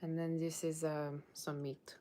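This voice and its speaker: Low-voiced woman with Russian accent. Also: little pitch movement, measured pace, very noisy. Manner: rambling, informal, often checking with "okay". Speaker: tarot reader hosting a livestream. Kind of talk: casual livestream chat to viewers.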